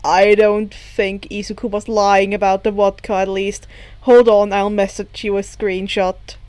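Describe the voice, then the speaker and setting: A woman voicing a reserved, deadpan teenage boy with a hesitant, understated delivery in a story reading. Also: mid-range voice, a little slow, dry recording, pitched below the performer's own voice, close to an everyday voice. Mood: stunned.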